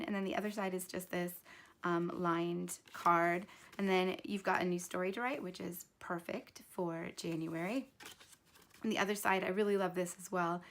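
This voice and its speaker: Woman with a cold, chatting casually. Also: fairly low pitch. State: recovering from a cold, voice not fully recovered. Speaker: craft video host. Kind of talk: casual unboxing narration.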